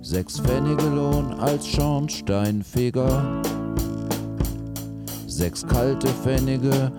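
Boozy tavern singer, very low, dragging sung ballad, loud guitar. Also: female voice, extremely slow, heavily acted. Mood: plaintive, singing. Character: boozy tavern singer lamenting a poor chimney-sweep youth. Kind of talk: sung folk ballad in German radio play. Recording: loud plucked guitar accompaniment.

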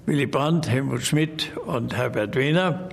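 Man calmly recounting past events. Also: medium pitch, a little slow, gravelly, husky. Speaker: interviewee. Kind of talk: reminiscing interview.

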